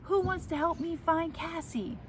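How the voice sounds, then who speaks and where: Woman putting on an eager, high-pitched storybook dog. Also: measured pace. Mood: eager, curious. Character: eager, playful male pet dog searching for his girl. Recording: clear background noise.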